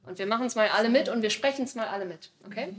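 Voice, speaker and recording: A woman's voice: fairly high pitch, quick pace, noisy background. A woman leading an opera outreach project, explaining her work thoughtfully with some restarts. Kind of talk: casual explanation.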